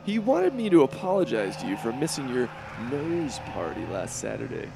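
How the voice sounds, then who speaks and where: An actor playing a boastful, cowardly young superhero who talks in a self-serving, blustery and panicky way. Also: male voice, mid-range voice, sing-song, normal speed, vocal fry, music in the background.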